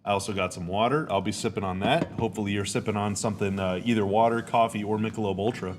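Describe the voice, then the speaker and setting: A young adult man, a bit hoarse and raspy, hosting a casual live stream from home and talking freely with his viewers. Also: on the low side, steady tone, fast, slight background noise. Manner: chatty, reads chat comments aloud. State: hoarse, dry sinuses. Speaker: podcast host. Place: home room.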